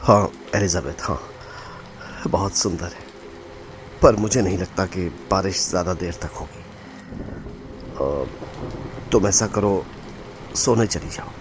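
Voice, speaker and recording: A man's voice: deep voice, slow, long pauses, noisy background. A man with an Indian accent playing an anxious, secretive young bridegroom in an audio drama. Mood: nervous, evasive. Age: young adult. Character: anxious, haunted young bridegroom scientist, devoted but secretive. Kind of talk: Hindi audio drama acting and first-person narration.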